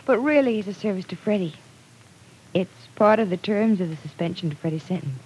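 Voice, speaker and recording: A woman's voice: medium pitch, expressive, fairly quick, a little mellow, husky, rough recording. An actress playing an earnest, persuasive young businessman's daughter in an old radio drama, pleading and insistent. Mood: insistent, coaxing. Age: young adult.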